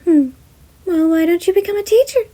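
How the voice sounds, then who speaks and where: A woman's voice: expressive, brisk, warm tone. A woman voicing a cheery, sly male chimera school principal who sounds pleased with himself. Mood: cheerful. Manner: high, bouncy and pleased with himself.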